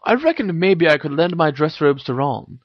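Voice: mid-range voice, sing-song, normal speed, dry recording, dull audio, voice pushed up. A reader voicing a defensive teenage boy wizard in an audio story, grumbling and petulant. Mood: annoyed, evasive.

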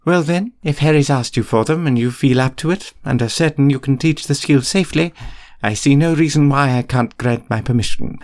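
A man reading an audiobook, voicing a stern older female professor with a crisp, clipped, prim delivery. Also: medium pitch, fairly quick, darkish tone, voice pushed up, close to an everyday voice. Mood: brisk. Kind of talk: audiobook character voice.

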